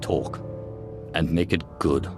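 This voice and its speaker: Extremely deep-voiced Sith lord, stern, measured, formal, game cutscene, music behind. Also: male voice. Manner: cool, measured, formal, authoritative. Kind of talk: dramatic video game voice acting.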